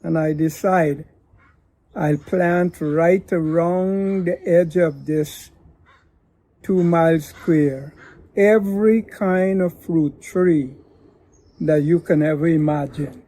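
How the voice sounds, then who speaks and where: An older man from Guyana, a pastor telling his daughter his life story in a slow, deliberate way. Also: on the high side, steady tone, mellow, dry recording. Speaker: pastor and father of the host. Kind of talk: reflective family interview.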